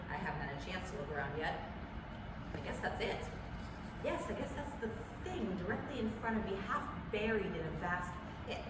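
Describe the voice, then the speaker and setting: A woman playing an excitable male radio news reporter in a staged 1930s radio drama, giving halting, dramatic eyewitness commentary. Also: lowish voice, lightly acted. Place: staged reading in a public library. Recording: echoey library hall, far mic, noisy.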